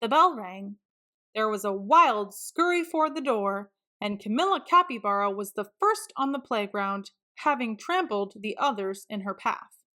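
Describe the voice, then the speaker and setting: A woman reading a children's storybook aloud in an expressive, deliberate way with dramatic emphasis. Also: medium pitch. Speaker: children's book reader.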